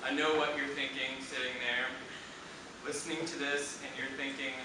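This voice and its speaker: A man's voice: mid-range voice, flat delivery, normal speed. Man in his thirties reading, echoey room. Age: 30s. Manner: dry, self-deprecating delivery. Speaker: writer reading his essay. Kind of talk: reading a personal essay aloud to an audience. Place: university reading event before a live audience. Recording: echoey room, distant mic, background noise.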